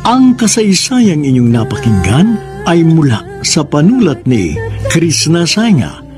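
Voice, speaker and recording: A man's voice: on the high side, huge pitch swings, unhurried. A man with a Filipino accent hosting a radio drama, giving scripted advice and credits in an earnest, counselling manner. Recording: music under the voice.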